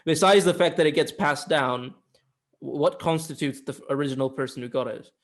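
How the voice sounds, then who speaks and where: Blunt man with a higher-pitched voice. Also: a little fast. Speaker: film review co-host. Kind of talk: casual opinionated film discussion.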